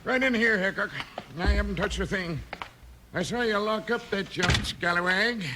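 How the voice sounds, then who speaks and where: A man's voice: sits high, normal speed, close to an everyday voice. A man playing a fussy, self-important small-town banker in a 1950s radio western. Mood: officious. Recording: slightly noisy vintage radio recording.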